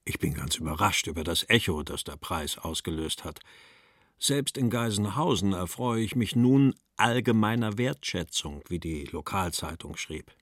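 Deep male reader, dry sound. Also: normal speed, a bit bright, dry recording. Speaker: reader of letter quotations. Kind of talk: scripted quotation reading. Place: dry studio.

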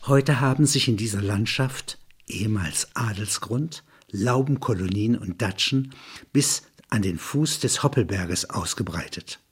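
A man's voice: lowish voice, unhurried, husky. A man narrating a scripted, documentary-like literary text.

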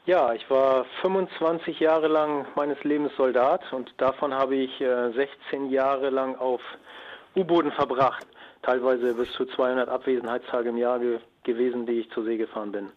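A man's voice: mid-range voice, steady tone, normal speed. Middle-aged man on a dull phone line. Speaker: submarine expert and former navy serviceman. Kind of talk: factual phone interview answers.

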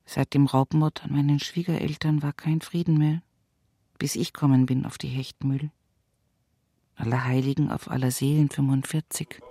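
An old Bavarian country mother, with a gravelly, breathy voice. She sounds earthy and resigned, worn down by a lifetime of toil, in a closely recorded, dry radio drama. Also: deep voice, little pitch movement, normal speed, warm tone, no echo. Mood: sober. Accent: Bavarian, Upper Palatinate. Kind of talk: dramatic radio play monologue.

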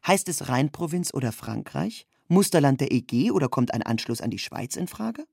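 Husky, creaky narrator of literary prose. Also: mid-range voice, big pitch swings, normal speed, darkish tone, no room sound, studio quality.

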